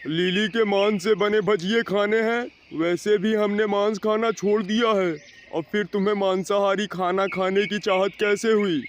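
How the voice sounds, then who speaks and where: A man voicing a stern, exasperated father crow in an animated moral story, scolding and insistent. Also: high voice, normal speed, natural voice.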